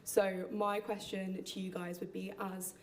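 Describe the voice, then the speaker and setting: A woman's voice: mid-range voice, flat delivery, measured pace, a little hiss or hum. A young woman asking a long, friendly question, with many fillers. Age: young adult. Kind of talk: question from the audience.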